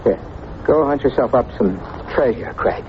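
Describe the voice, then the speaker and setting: An actor playing an obsessed, embittered small-town murderer with formal, brooding phrasing in an old-time radio drama. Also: male voice, mid-range voice, moderate pace, lightly acted. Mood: bitter. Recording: muffled, noisy old radio recording.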